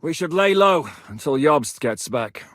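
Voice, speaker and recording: A battle-hardened warlord commander with a bright, forceful, fairly high voice. He talks bluntly and with authority, the way a leader gives orders to his men. The recording is dry and close, with no room sound. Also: animated, measured pace, dry recording. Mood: grave. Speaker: military commander character. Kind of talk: video game voice lines.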